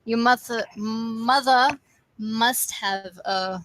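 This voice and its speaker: A woman playing a kind, earnest male team leader who speaks gently and sincerely in an amateur dramatic reading. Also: a little high, extremely slow, no echo, low-quality recording. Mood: warm, earnest.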